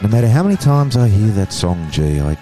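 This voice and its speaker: A man co-hosting a podcast, chatting in a playful, lively way. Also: on the low side, fairly quick, over music. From Tasmania, Australia. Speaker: podcast co-host. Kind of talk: casual chat.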